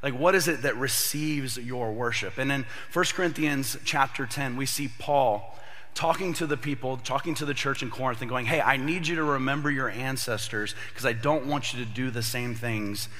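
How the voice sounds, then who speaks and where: A man in his thirties, a pastor with a slightly raspy voice from a cold, giving a casual, joking sermon to young adults. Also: medium pitch, moderate pace, fairly bright tone, in a large hall. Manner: chatty storyteller, jokey asides, many fillers.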